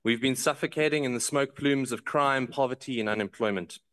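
A man's voice: mid-range voice, a bit bright. Man giving a formal speech. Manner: measured, formal, reads from notes. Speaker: member of parliament giving a speech. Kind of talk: prepared parliamentary speech. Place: large parliamentary chamber, indoors. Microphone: desk microphone.

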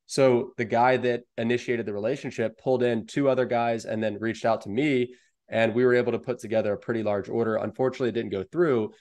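A man's voice: mid-range voice, fairly even intonation, quick pace, a little mellow. A young American man giving explanatory advice as an interview guest on a video call. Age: young adult. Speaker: guest, online wholesale seller. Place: indoor room at home, video call.

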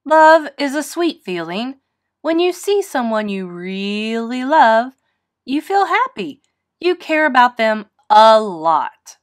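Storybook mom pig, voiced by a woman: loving, gently teasing, animated and slow. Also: on the high side. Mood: explaining. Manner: expressive, nurturing.

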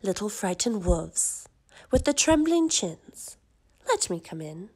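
A woman voicing a big bad male pig who makes loud, drawn-out threats in a storybook. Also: medium pitch, very animated, slightly rough, pitched below the performer's own voice, full character voice. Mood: menacing.

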